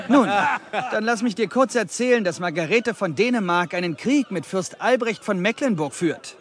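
An actor playing a shrewd, courteous, genteel merchant who recruits men in an audio drama. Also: male voice, high voice, lively intonation, measured pace, long pauses, fairly bright tone. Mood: persuasive. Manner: courteous, persuasive, self-assured. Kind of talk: German audio drama acting.

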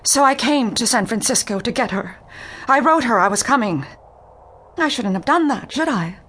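An actress playing a timid, refined young woman client in a detective audio drama, speaking in a halting, pleading manner. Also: on the high side, lively intonation, a bit bright, breathy edge. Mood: anxious.

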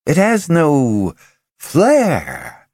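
A man voicing a blunt businessman who draws out his words slowly and deliberately. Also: a little high, huge pitch swings, darkish tone, slightly rough, no room sound, clean studio sound, voice pushed up, exaggerated voice. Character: slow, blunt businessman, fashion coordinator.

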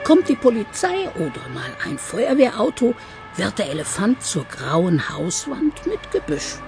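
A woman narrating a scripted children's story as an expressive audiobook storyteller. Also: medium pitch, a little slow, gravelly, over music.

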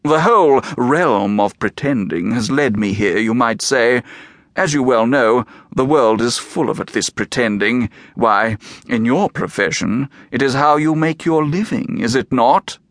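A man reading an audiobook, voicing a scheming gentleman in a fez who talks in an oily, flattering way. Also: medium pitch, dry recording, studio quality. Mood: ingratiating. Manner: oily, flattering, slow and deliberate.

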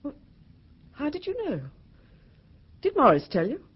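An actress playing an anxious, insistent well-bred English wife in a vintage British radio drama, earnest and emphatic with some hesitations. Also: female voice, high-pitched, huge pitch swings, measured pace, exaggerated voice. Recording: dull vintage radio recording.

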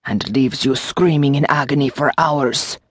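A woman voicing a small, fretful male gargoyle demon in an audiobook, with a low, lilting French accent and wry, courtly phrasing. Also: fairly even intonation, unhurried, breathy edge, dry recording, heavily acted. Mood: anxious, pleading. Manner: formal, courtly phrasing with endearments.

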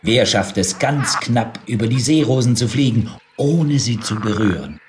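A man's voice: medium pitch, animated, normal speed, slight background noise. A man reading scripted narration for a children's audiobook.

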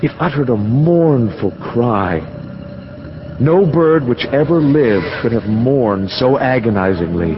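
Solemn slow-reading man, lo-fi. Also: medium pitch, expressive, over music. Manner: slow, solemn, incantatory delivery. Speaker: narrator. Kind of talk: dramatic radio-theater reading.

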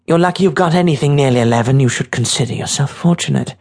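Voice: mid-range voice, fast, a little mellow, pitched above the performer's own voice, lightly acted. A narrator voicing a tough, scornful, streetwise teenage girl with a brash, mocking, bossy way of talking. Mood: scornful, threatening.

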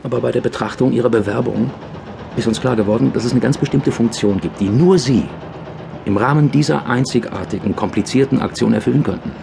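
An actor playing a formal, persuasive police chief in his forties in a German radio drama, speaking in measured official sentences. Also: medium pitch, gravelly, background noise, lightly acted. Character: smooth-talking, formal male Europol chief in his mid-forties.

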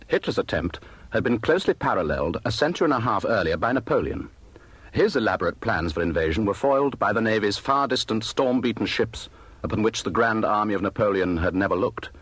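A man narrates history with formal, measured delivery. His low voice has a husky grain and swings expressively through the phrases, with dramatic weight on key words. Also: dry recording. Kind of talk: scripted audiobook history narration.

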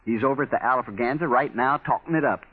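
An actor playing an earnest, anxious American townsman in a radio western, with a husky, rough voice. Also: mid-range voice, quick pace, natural voice. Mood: worried. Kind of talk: 1950s radio western acting. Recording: tinny vintage 1950s radio recording.

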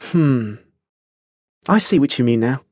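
A man voicing a bright, oblivious young woman in an audiobook story, chatty, precise and matter-of-fact. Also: mid-range voice, animated, takes it slowly, dry recording, clean studio sound, pitched above the performer's own voice. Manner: cheerful, precise, lecturing, unruffled.

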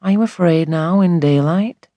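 A woman voicing a kindly gentleman apothecary in a storybook reading, gentle, reassuring and musing. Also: fairly low pitch, moderate pace, no echo, close to an everyday voice.

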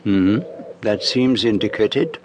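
An actor playing a precise, patient, fussy detective with a French-speaking Belgian accent in a radio drama, husky and formal in manner. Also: male voice, on the low side, a little hiss or hum. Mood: thoughtful. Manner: precise, measured, formal, gently teasing.

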